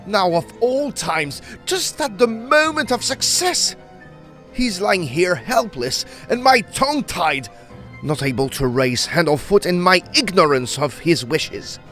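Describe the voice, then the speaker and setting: An actor playing a learned, secretive explorer of Egypt in an audio drama, formal and emphatic in manner. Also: male voice, high voice, sing-song, slow, a bit bright, soft background music, exaggerated voice. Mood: anguished.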